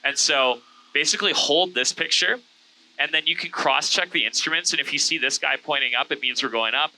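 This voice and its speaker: A man's voice: a little high, fairly quick, bright, forceful tone, rough recording. A man, a host and pilot, chatting casually and explaining things in an easygoing, informal way. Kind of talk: casual chat and hands-on instruction. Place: small airplane cockpit in flight.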